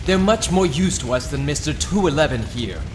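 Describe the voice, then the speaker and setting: A man's voice: a little high, lively intonation, fairly quick, music in the background, close to an everyday voice. A man playing a spoiled, lazy young prince in an audio drama, with an airy, mock-dramatic manner. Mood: flippant. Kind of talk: fan audio drama acting.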